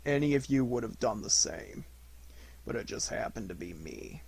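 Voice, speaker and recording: A man voicing a gloomy, self-important old donkey with a slow, ponderous, grumbling delivery in a storybook reading. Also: mid-range voice, expressive, vocal fry, a little mellow, breathy edge. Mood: pompous.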